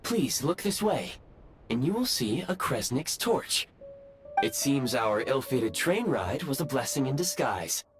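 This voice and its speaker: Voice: medium pitch, sing-song, unhurried. An actor voicing a polite, dutiful robot guide in a video game, speaking in a formal, precise and courteous way. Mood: reassuring. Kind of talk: scripted video game voice acting. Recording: music behind the voice.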